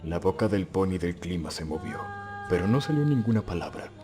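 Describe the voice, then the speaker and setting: A man's voice: low-pitched, animated, fairly quick, over music. A man giving a scripted, dramatic narration of a story.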